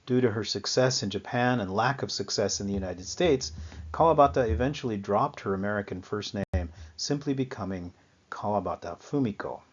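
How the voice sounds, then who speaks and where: A man talks through a history lecture in a fairly low voice, stopping often to fill pauses and correct himself. The recording is somewhat dull and lo-fi. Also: lively intonation, breathy edge. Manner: halting, frequent fillers and self-corrections. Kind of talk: unscripted lecture on music history. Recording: slightly dull lo-fi sound.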